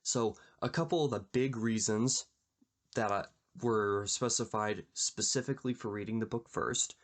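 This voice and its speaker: A man hosting a book channel, talking casually and explaining in a measured, conversational way with a slightly gravelly voice. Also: lowish voice. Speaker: YouTube book channel host. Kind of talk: casual book discussion to camera.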